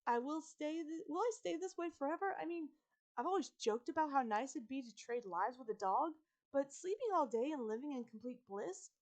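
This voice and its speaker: A woman's voice: high voice, voice pushed up. A woman voicing an anxious, chattering teenage girl turned into a dog, dramatically complaining in an audiobook. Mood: distressed, indignant. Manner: rapid, excitable, dramatic complaining. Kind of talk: audiobook character voice.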